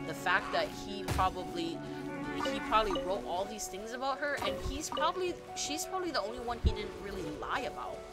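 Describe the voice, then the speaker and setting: A woman streamer rambling excitedly about a game story while she plays, full of restarts and fillers. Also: mid-range voice. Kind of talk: rambling gameplay commentary. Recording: loud game music behind the voice.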